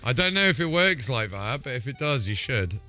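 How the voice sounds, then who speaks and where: A man with a mid-pitched voice talks casually as he hosts a game stream. His manner is laid-back and loose with swearing, and music plays lightly behind him. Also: big pitch swings, a little fast, bright, forceful tone. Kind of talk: casual livestream chat over gameplay.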